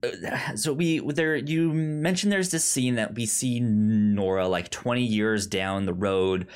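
A man co-hosting a casual TV review podcast, speaking haltingly and often restarting his words. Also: mid-range voice, smooth. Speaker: podcast co-host.

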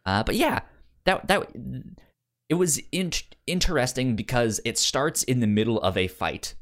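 A man's voice: medium pitch, lively intonation, normal speed. A man hosting a podcast in a casual, chatty style, often stammering and repeating words and syllables as he goes. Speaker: podcast host.

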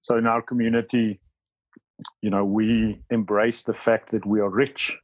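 A South African man, Afrikaans his first language, talking as a church leader in an interview. His voice sits on the low side and the sound is dry and close. He speaks in bursts, thinking aloud with frequent fillers and restarts. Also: flat delivery, a little slow, dry recording, highs cut off. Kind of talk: interview conversation.

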